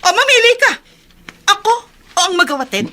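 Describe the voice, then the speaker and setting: An actress playing a resentful, hot-tempered wife in a radio drama, scornful and confrontational. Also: female voice, very high voice, sing-song, brisk, sharp tone, heavily acted. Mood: scornful, angry.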